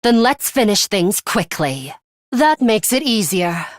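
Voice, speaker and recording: An aloof young female fighter from a video game, morbid and bloodthirsty beneath a composed surface. She speaks formally and without hurry, at a middling pitch, with deadpan disdain. The sound is dry and free of any room. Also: takes it slowly, dry recording. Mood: cool, impatient. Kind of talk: English video game voice acting.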